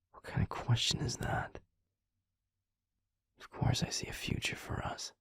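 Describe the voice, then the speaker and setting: A man playing a gruff but tender, easily flustered young werewolf boyfriend in an intimate audio roleplay, speaking in a hushed, halting, husky voice. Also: dry recording, whispered. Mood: amused, flustered. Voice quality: breathy, husky, gravelly. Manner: hushed, halting, grumbling affectionate swearing.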